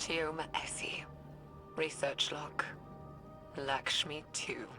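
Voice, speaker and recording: Low-voiced, guilt-ridden scientist. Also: female voice, steady tone, slow, in a whisper. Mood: grief-stricken. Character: anguished woman scientist dictating research logs, guilt-ridden. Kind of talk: dramatic video game voice acting, recorded log. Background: music.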